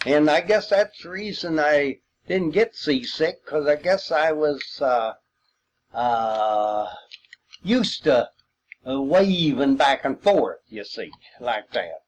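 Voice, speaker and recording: Older man, rural Missouri accent, slow pace, slightly high pitch, rambling folksy reminiscence, halting delivery. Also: animated. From Missouri, United States. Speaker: World War II veteran storyteller.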